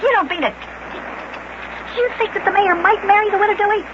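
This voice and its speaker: A man playing a small, innocent, excitable boy who exclaims and stammers in 1950s children's radio style. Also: extremely high pitch, fast talker, background noise. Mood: shocked. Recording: muffled old radio recording with music.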